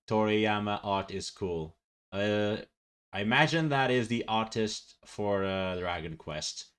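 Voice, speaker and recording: Low-voiced young man rambling. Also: fairly bright tone. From Portugal. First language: Portuguese. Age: 20s. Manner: rambling and self-correcting, with frequent fillers and laughs. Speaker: livestreamer. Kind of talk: casual live-stream chat reading viewer comments.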